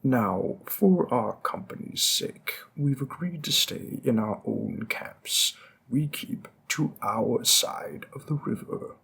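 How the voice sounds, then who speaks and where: A man's voice: mid-range voice, expressive, slow, creaky, fairly bright tone, slightly rough, heavily acted. A man playing a smug, flirtatious mob boss who teases a detective and drops veiled threats. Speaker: audio roleplay performer. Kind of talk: scripted audio roleplay.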